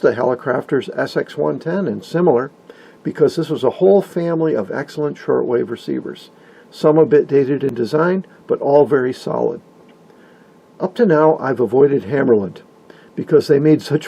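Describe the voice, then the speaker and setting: A man's voice: mid-range voice, animated, moderate pace, vocal fry, warm tone, slightly rough, rough recording. A man narrating a hobbyist video from a script, with wry, folksy, playful asides and an enthusiast's turn of phrase.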